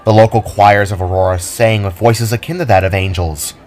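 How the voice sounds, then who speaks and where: A man's voice: lowish voice, steady tone, fairly quick, a little mellow. A man narrating his own audio drama in a descriptive storytelling style. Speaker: narrator and writer.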